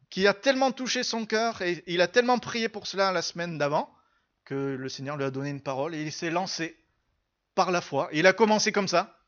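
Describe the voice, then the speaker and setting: A man's voice: high-pitched, muffled recording. A man preaching a church sermon, telling and teaching in an earnest, emphatic way and repeating phrases for stress.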